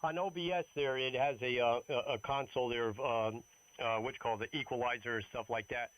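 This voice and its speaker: A man speaking haltingly, with many pauses, in casual amateur radio chat over a radio transmission. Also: medium pitch, fairly even intonation, fairly bright tone. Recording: noisy radio transmission with hum.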